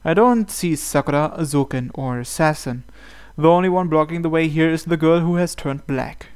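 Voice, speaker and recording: A man's voice: on the high side, normal speed, clear, resonant tone. A man reading first-person visual novel narration aloud as he plays. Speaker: game player reading aloud. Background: soft game music.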